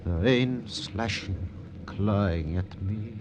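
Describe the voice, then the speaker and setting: Anguished, brooding ballet impresario. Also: male voice, lowish voice, background noise. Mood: despairing. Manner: theatrical, halting, repetitive, formal phrasing. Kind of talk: old-time radio drama acting.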